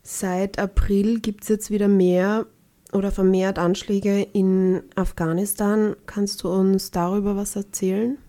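A woman's voice: medium pitch, level tone, unhurried, mellow, no room sound. Matter-of-fact woman host. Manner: plain, matter-of-fact, with small slips. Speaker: radio host and interviewer. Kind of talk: scripted introduction, then interview questions.

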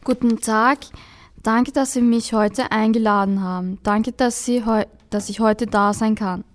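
A woman's voice: on the high side, steady tone, unhurried. A young woman as a guest on a school radio interview, giving short plain answers.

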